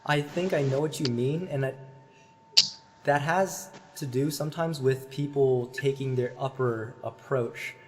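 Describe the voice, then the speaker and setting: A man, a voice teacher on a video call through a headset, explaining singing technique with many fillers and pauses. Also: mid-range voice, darkish tone, a little hiss or hum, low-quality recording. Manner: thoughtful, hesitant with many fillers, demonstrates sung vowels.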